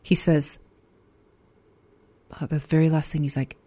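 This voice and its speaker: Low-voiced, playful woman chatting on radio. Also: measured pace. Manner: expressive, playful. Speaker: radio show host. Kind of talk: casual on-air chat.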